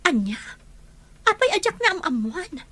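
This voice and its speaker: A woman's voice: very high-pitched, big pitch swings, moderate pace, bright, forceful tone, exaggerated voice. A woman playing a troubled, earnest older sister in love, in an audio romance drama. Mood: hurt, questioning.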